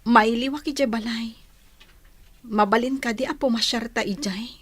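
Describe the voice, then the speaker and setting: A woman plays a young, long-suffering village wife with an Ilocano accent. Her voice sits high, with a pleading, homesick tone suited to radio drama. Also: steady tone, moderate pace, a bit bright, slightly rough, no echo. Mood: plaintive.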